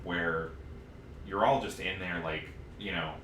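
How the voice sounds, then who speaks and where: Low-voiced man in an echoey room. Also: a little slow, a bit bright. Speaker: podcast co-host. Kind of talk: casual chat about video games. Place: indoor room, live-streamed podcast recording. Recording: roomy sound with faint background noise.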